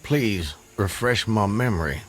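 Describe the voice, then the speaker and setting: Casting: courteous, wary runaway in audio drama; lowish voice, formal, hesitant, unsure of himself. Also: male voice, lively intonation, unhurried, a little hiss or hum. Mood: dazed, reflective. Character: bewildered, wary, courteous man on the run. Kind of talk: radio drama acting.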